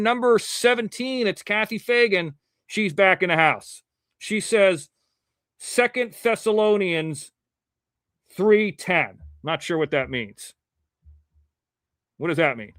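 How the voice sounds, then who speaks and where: A man from Ohio hosting a live show, chatting casually and reading the chat, rambling and swearing now and then in clean, crisp diction. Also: high voice, animated, a little slow, fairly bright tone, no echo, studio quality.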